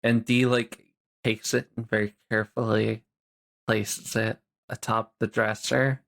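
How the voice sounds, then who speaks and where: A man's voice: on the low side, little pitch movement, creaky, a bit bright. A man playing a tabletop game, describing his character's actions with giggles and restarts. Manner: giggly, halting. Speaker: player. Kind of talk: casual tabletop play.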